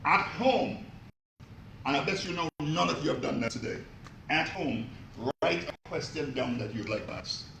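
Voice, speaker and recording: A gravelly-voiced man gives an energetic motivational talk to a live audience, asking them questions as he goes. His voice has a rough, creaky edge. The room is echoey, with a faint hiss underneath. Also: on the high side, normal speed. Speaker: motivational speaker.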